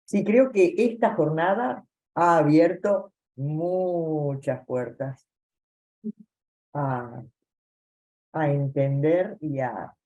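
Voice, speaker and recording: A woman moderating an online session over a video call, calm and measured. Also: deep voice, rough recording.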